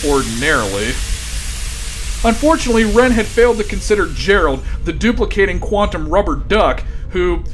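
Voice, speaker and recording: A man narrates a comic space tale with a fairly high voice. His scripted delivery is grandiose and mock-epic, with music underneath. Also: big pitch swings, moderate pace, fairly bright tone, poor audio.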